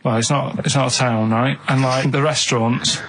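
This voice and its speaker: An English-accented man, a radio show regular, telling rambling anecdotes in a halting, earnest, matter-of-fact way. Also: medium pitch, fairly even intonation, fairly bright tone, a little hiss or hum.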